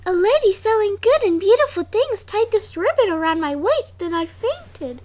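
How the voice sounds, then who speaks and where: A woman voicing a sweet, naive young maiden princess, gentle and wide-eyed in a children's storybook tale. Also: very high voice, big pitch swings, moderate pace. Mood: apologetic, meek. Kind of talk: children's storybook play with dolls.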